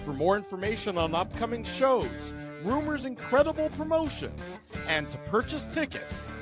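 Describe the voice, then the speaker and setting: A man's voice: extremely high pitch, very animated, moderate pace. A man announcer reading scripted radio commercials in an upbeat, punchy way, over a music bed.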